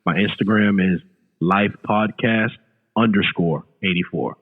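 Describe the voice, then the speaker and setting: A man's voice: sits low, a little slow, husky. A man in his late thirties, a podcast guest chatting casually, often repeating words and saying "you know". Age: late 30s. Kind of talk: casual chat. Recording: phone-like remote line with long reverb.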